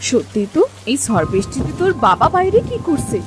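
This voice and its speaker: Woman playing an anxious, high-voiced village wife. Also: sing-song, a little fast, music in the background. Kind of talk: Bengali cartoon dubbing.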